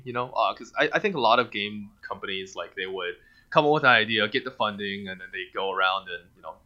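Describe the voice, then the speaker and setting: A man from China whose first language is Mandarin, a game studio owner chatting as a podcast guest, halting with many fillers and restarts. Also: on the low side, pushed and bright, muffled recording. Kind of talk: casual interview chat.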